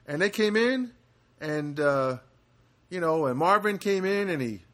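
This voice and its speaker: A man hosting a casual solo podcast from a studio apartment, rambling and halting with many fillers. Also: sits high, pushed and bright. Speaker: podcast host.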